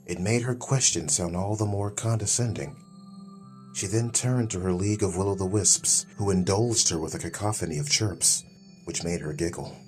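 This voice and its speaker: A man's voice: low voice, normal speed, soft background music. A man reading scripted fantasy audiobook narration as the storyteller.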